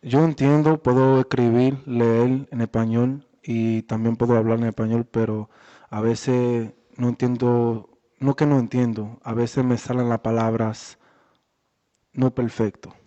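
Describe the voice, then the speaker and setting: A young man being interviewed about his boxing life, talking in a relaxed, halting way with many fillers. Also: medium pitch. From Worcester, Massachusetts area. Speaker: boxer, interview guest. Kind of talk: casual interview chat. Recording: dull lo-fi audio in a reverberant room.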